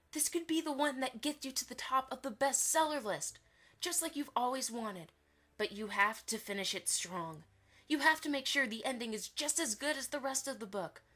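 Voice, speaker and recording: A blunt, commanding mare publisher acted by a woman in a fan audio drama. The voice sits fairly high and is forceful and bright, with a firm, demanding way of lecturing.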